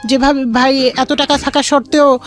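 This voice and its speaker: A woman's voice: sits high, level tone, normal speed. A woman with a Bangladeshi accent tells a personal story in an interview, acting out both sides of remembered conversations. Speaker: guest telling life story. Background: background music.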